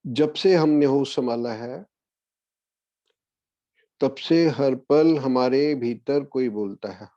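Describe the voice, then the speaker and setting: A man guiding a meditation as a spiritual discourse, speaking in a measured way with long pauses. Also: medium pitch, dark tone, dry recording. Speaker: meditation guide. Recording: dull, lo-fi, dry audio.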